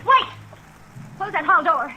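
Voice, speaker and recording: A woman's voice: very high-pitched, measured pace, muffled recording, a little hiss or hum, heavily acted. An actress playing a desperate, bitter, fearful young woman in a 1950s radio drama, emotional and plaintive, on a vintage recording. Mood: frantic, angry. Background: steady rain sounds.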